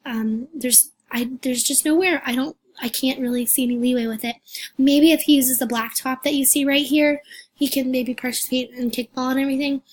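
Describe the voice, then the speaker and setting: A hoarse young woman giving a recorded class presentation, talking hesitantly with many fillers and restarts. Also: sits high, steady tone, fairly quick. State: hoarse, losing her voice. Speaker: student presenter.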